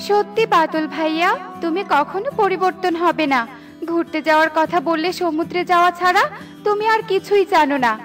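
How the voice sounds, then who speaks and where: A woman's voice: extremely high pitch, fairly quick, fairly bright tone, soft background music. A woman voicing a proud, headstrong young cloud in a children's cartoon, with emphatic, dramatic delivery. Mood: sulky.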